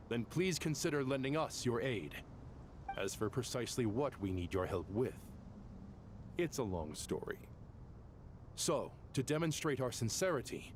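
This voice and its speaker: An actor voicing an earnest, businesslike scholar envoy in a video game, with formal, diplomatic delivery. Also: male voice, medium pitch, slight background noise, close to an everyday voice. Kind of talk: scripted video game voice acting.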